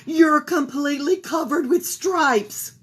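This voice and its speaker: A woman voicing an alarmed, bossy mother in a read-aloud story, loud and commanding. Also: sits high, slow pace, pitched above the performer's own voice.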